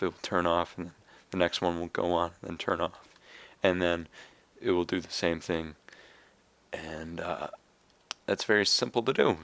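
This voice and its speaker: A young man with a raspy, slightly hoarse voice, casually explaining a game build step by step with fillers. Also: low-pitched, fairly bright tone, dry recording. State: hoarse from coughing. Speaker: video game tutorial maker. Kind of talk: casual screen-recorded game tutorial.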